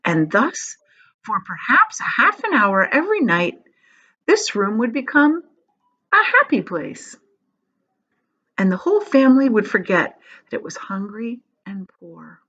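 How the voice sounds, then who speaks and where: A woman reading a children's story aloud as an expressive narrator, phrasing it deliberately. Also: on the high side. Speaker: storybook reader, teacher. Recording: slightly dull, lo-fi sound.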